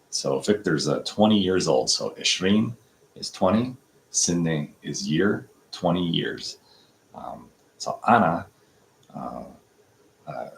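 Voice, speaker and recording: A man in his fifties giving a casual, halting practice lesson, breaking phrases down word by word with many pauses and fillers. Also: sits low, gravelly, slight background noise, low-quality recording. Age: 50s. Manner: slow, halting explanations with many fillers, breaks words down piece by piece. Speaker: language learner teaching from a textbook dialogue.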